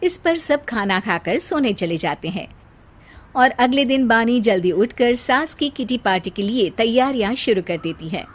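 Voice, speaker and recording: A woman's voice: on the high side, animated, normal speed. A woman giving scripted narration for a family cartoon story.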